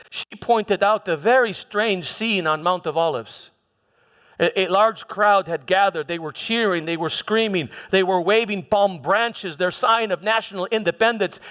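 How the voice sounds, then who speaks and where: A man playing a troubled Roman governor who recounts his past in a halting dramatic monologue, repeating words and pausing for emphasis. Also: high-pitched, fairly bright tone. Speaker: church dramatist performing a monologue. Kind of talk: first-person dramatic monologue in church. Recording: echoey hall with long reverb.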